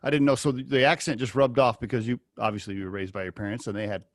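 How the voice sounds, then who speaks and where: A man's voice: mid-range voice, fairly even intonation, racing, no echo, studio quality. A man hosting a podcast interview over a video call, chatty and asking rambling questions. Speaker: podcast host. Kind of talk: casual interview.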